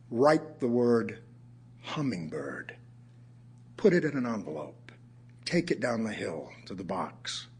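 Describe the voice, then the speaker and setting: An actor playing an ailing middle-aged writer, reciting his poems reflectively in an audio theatre piece, with a husky voice and emphatic pauses. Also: medium pitch, exaggerated voice. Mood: tender. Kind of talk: dramatic audio theatre poetry reading.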